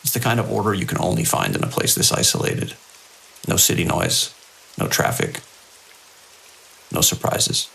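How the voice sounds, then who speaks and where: An actor as a seasoned night-watch security chief, very low and full of gravel. He is wry and world-weary in this audio drama, measured but with lively inflection, over faint hiss. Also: full character voice, poor audio. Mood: wry, reflective. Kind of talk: audio drama dialogue and log monologue. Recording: faint hiss and rain-like noise.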